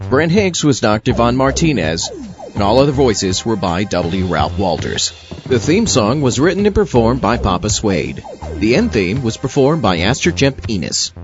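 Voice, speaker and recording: A man reading scripted closing credits as an announcer. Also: mid-range voice. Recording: dull, band-limited sound. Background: music.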